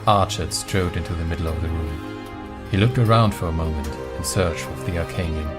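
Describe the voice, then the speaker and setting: Deep-voiced male fantasy narrator. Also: moderate pace. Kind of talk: scripted dramatic fantasy narration. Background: prominent music.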